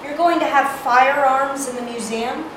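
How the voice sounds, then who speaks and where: Actress as naive kid sister, kinda high voice, far off in a big echoey room. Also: female voice, normal speed, natural voice. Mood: puzzled. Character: earnest, naive younger sister, a lawyer. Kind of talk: cold reading of a screenplay. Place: indoor reading hall, recorded from far off. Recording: echoey room, distant mic, clear noise.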